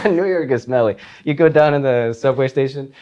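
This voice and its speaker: A man from Iceland, a guitarist and composer, chatting in an interview in a relaxed, rambling way with frequent laughs. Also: mid-range voice, moderate pace, lo-fi sound. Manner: relaxed, rambling, laughs often, many fillers. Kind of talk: casual interview chat.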